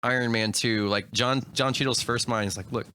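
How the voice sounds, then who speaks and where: Bright-voiced man chatting about movies. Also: medium pitch, steady tone, moderate pace, a little hiss or hum. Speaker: podcast co-host. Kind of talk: casual movie discussion.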